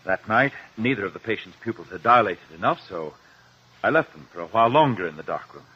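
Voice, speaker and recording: A man's voice: fairly low pitch, lively intonation, fairly quick, slightly rough. A man playing an earnest, anxious doctor under questioning in a 1950s radio drama, explaining precisely. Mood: careful. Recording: muffled old radio recording.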